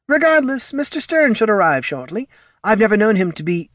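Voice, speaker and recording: A man's voice: high-pitched, big pitch swings, brisk, a bit bright, no room sound, clean studio sound, pitched above the performer's own voice. A man voicing a breezy, cheeky halfling schemer in a fantasy audiobook, flippant and casual.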